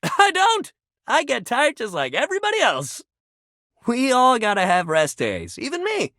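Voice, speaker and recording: Hugely animated friendly jock bro. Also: male voice, very high-pitched, moderate pace, no room sound, studio quality, exaggerated voice. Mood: upbeat, encouraging. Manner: casual bro-like talk, emphatic and expressive. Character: upbeat, friendly, sensitive young male sports jock. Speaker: video game voice actor. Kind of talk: video game character dialogue.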